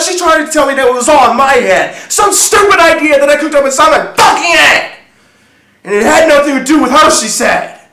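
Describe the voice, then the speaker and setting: A man's voice: fairly high pitch, pushed and bright, distant mic, pitched above the performer's own voice. Man acting a jealous, volatile husband, echoey room. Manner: profane, brooding, emphatic. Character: jealous, obsessive, volatile husband ranting to his brother. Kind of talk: dramatic stage monologue. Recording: echoey room with faint noise.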